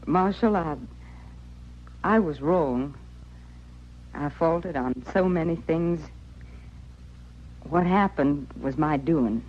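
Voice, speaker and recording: Proud frontier widow, dull radio sound. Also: female voice, low voice, slow pace, pauses often, a little hiss or hum. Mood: remorseful, earnest. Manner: folksy, rustic phrasing. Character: proud, wounded frontier widow, plain-spoken and stubborn. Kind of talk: old-time radio western acting. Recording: dull vintage radio recording.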